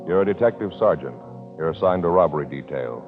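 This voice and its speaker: A man's voice: very deep voice, lively intonation, fast. An American man announcing in a formal, scripted 1950s radio style, on an old recording. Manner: formal, declarative. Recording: tinny old radio recording, faint music.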